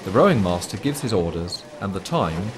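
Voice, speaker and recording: A man reading scripted documentary commentary in a measured, formal, explanatory manner. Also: low-pitched, sing-song, background noise. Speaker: documentary narrator.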